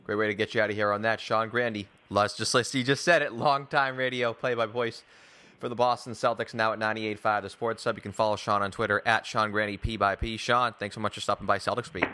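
A man hosting a sports talk show, giving opinion in an emphatic, wordy, deliberate way. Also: fairly low pitch, steady tone, pushed and bright. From Newton, Massachusetts, United States. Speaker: sports podcast host.

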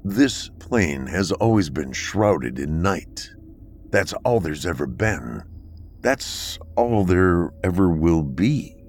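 A man voicing a calm, androgynous bunker dweller from the far future, speaking slowly and sternly. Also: low voice, a little hiss or hum, exaggerated voice. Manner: slow, measured, stern.

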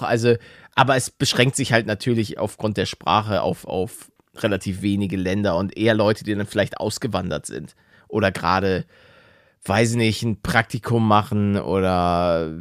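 A man's voice: lowish voice, no echo, clean studio sound. A man co-hosting a podcast in casual, slangy chat with joking banter. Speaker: podcast co-host.

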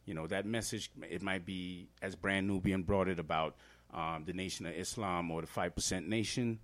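A man's voice: low-pitched, fairly even intonation, normal speed, a bit bright. A man from Trinidad and Tobago, a guest hip-hop artist, explaining earnestly in a casual podcast interview with many fillers.

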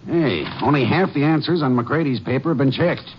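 An actor playing a folksy, plainspoken small-town sheriff in a 1950s radio drama, talking in a drawling, ungrammatical way. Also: male voice, mid-range voice, a little fast, natural voice. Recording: muffled old radio broadcast with faint hiss.

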